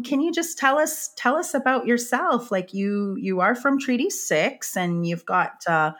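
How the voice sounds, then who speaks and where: A woman hosting a podcast, warmly introducing and questioning her guest. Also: on the low side. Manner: warm, effusive introductions. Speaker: podcast host. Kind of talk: interview hosting.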